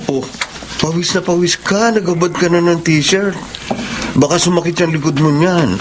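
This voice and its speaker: A man's voice: a little high, normal speed, slight background noise, close to an everyday voice. A man playing an easygoing, good-humoured uncle in a Tagalog radio drama, with a jovial manner. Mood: genial.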